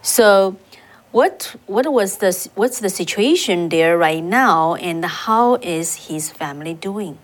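A woman co-hosting a news show, introducing an interview she did, with an unhurried manner and many fillers. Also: fairly low pitch, lively intonation. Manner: unhurried, with fillers and restarts on hard names. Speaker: reporter and co-host.

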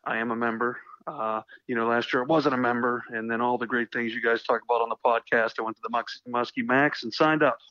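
Slightly hoarse man in dull, dry audio. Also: medium pitch, fairly even intonation, fairly quick, hardly any pauses, bright, forceful tone, dry recording. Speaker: podcast guest co-host. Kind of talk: casual podcast chat. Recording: dull, lo-fi sound.

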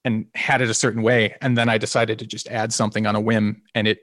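Relaxed, slightly nasal man musician. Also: fairly low pitch, fast, dry recording, studio quality. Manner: relaxed and rambling, with many restarts and small laughs. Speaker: singer and guitarist of a band. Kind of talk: casual interview conversation.

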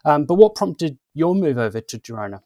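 A man hosting a podcast, introducing a guest in a relaxed way with many pauses and restarts. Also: fairly high pitch, no room sound, clean studio sound. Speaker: podcast host. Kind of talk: casual interview chat.

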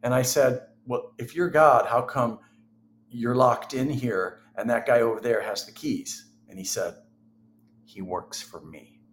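A man's voice: medium pitch, a little slow, slight background noise. A middle-aged man telling personal stories candidly and conversationally as an interview guest.

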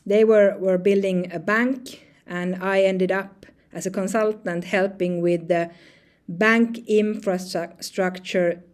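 A woman's voice: medium pitch, fairly even intonation. Woman, forties, from northern Sweden, founder guest, loose talker who restarts her sentences often. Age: 40s. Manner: halting, many restarts and fillers, light laughs. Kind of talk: conversational interview answers.

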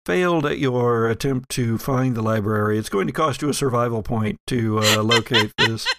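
A man running an actual-play tabletop game as game master, narrating haltingly with playful teasing. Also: mid-range voice, very noisy.

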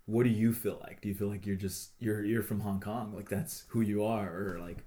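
Mellow-voiced male interviewer. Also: lowish voice, normal speed, echoey room. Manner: relaxed, filler-heavy questioning. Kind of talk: casual interview conversation.

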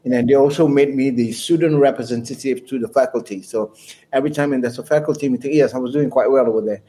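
Man, mellow, warm voice; relaxed, rambling anecdotal guest. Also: mid-range voice, fast. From Malaysia. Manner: rambling, anecdotal storyteller with chuckles. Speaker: guest musician. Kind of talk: casual interview chat.

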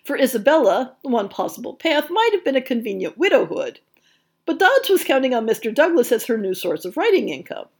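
A woman's voice: sits high, big pitch swings, brisk, darkish tone, husky. A woman hosting a podcast, reading a scripted history essay in an articulate storytelling way with wry asides. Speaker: podcast host.

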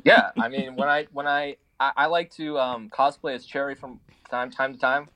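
A man's voice: mid-range voice, steady tone, normal speed, sharp tone, no echo. A man co-hosting a casual chat, laid-back and joking. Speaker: podcast co-host.

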